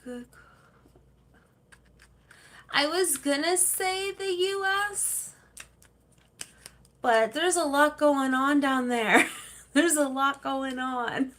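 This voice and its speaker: Woman livestream host, slow unhurried chat, laughs easily, reads out viewer comments. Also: sits high, a bit bright. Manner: chatty, laughs easily, rambling with fillers.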